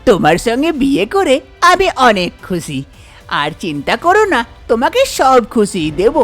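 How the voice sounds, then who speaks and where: A woman voicing an eager, flattering young village husband in a cartoon tale, talking colloquially. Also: on the high side, normal speed, faint music behind. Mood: flattering, happy.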